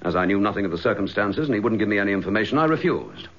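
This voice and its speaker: An actor playing an embattled, indignant British police chief inspector in a radio drama, clipped, forthright and sardonic. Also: male voice, on the low side, big pitch swings, very fast. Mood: coolly defiant. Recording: slightly dull radio drama recording.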